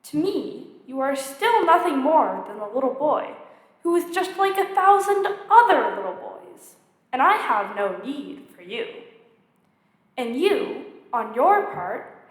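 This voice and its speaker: Woman reading as a lonely storybook fox, high and lilting. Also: full character voice. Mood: earnest, wistful. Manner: earnest, gently dramatic storybook delivery. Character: lonely wistful fox longing to be tamed. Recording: echoey hall with long reverb.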